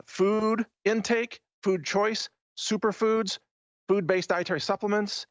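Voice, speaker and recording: A man's voice: a little high, no echo. An American man hosting a political talk show, speaking in an emphatic, opinionated, conversational monologue. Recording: dull, band-limited dry audio.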